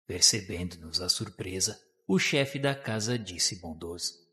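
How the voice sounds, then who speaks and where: A man's voice: deep voice, animated, a little slow, bright, forceful tone. A man with a Brazilian Portuguese accent giving formal, solemn, scripted literary narration for a radio drama. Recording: long reverb on the voice.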